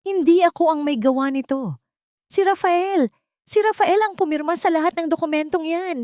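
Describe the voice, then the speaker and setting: A woman voicing a shrill, hysterical, desperate and scheming mistress in an audio drama story. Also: moderate pace, warm tone, no room sound, studio quality.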